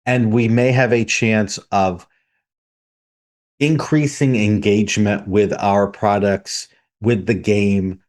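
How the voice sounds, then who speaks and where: Low-voiced man calmly explaining. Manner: measured, explains step by step with sports analogies. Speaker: podcast co-host. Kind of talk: casual analytical chat.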